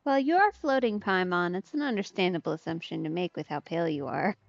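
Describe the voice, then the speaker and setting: A woman's voice: lowish voice, expressive, fairly quick, vocal fry, lo-fi sound, slight background noise. A woman giving casual let's play commentary on a video game, with wry, conversational asides. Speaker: streamer playing a video game.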